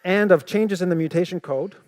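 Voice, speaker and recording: A man's voice: high-pitched, a little fast, darkish tone. A man whose first language is French, lecturing and explaining with rhetorical questions, in a played clip. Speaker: creationist speaker in a played clip. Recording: hall reverb.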